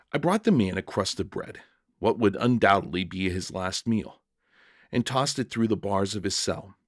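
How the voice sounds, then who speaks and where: A man narrating a short story in the first person, in a measured, reflective and dramatic reading. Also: fairly low pitch, no echo, studio quality.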